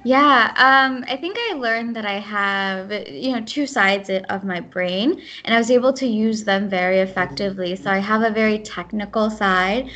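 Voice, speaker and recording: A young woman in her twenties, born in the United States with Gujarati as her first language, answering interview questions in quick, casual speech with fillers and restarts. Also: mid-range voice, sharp tone. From United States (Ohio). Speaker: guest.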